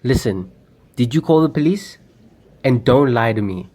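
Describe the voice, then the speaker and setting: A curt, menacing armed robber, played by a young man, barking orders in radio drama style. The voice is mid-pitched, impatient and commanding. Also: animated, normal speed, dark tone, natural voice. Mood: impatient threats. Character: threatening, impatient male armed bank robber. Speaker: student actor. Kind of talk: amateur radio drama acting.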